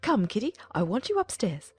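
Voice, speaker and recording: A fussy, bossy middle-aged mother acted by a woman in a period audiobook. Her voice is husky and swings widely in pitch, insistent and exclaiming, with faint music behind it. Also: huge pitch swings, moderate pace, heavily acted. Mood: eager, flustered. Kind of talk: dramatized audiobook acting.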